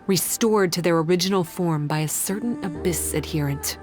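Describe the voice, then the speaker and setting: Actress as fantasy game guide, woman, low-pitched, composed, analytical, formal measured delivery, music playing behind. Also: close to an everyday voice. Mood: composed, explanatory. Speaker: game character giving quest briefing. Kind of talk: scripted game dialogue.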